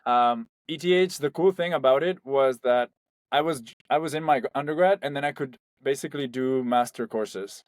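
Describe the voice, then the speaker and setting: A young man from Spain, guest on a founder interview, speaking loosely and conversationally. He fills his sentences with "like" and "um" as he talks. His voice is recorded close and dry, with no room sound, at a middling pitch. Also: normal speed, no room sound. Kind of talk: casual interview.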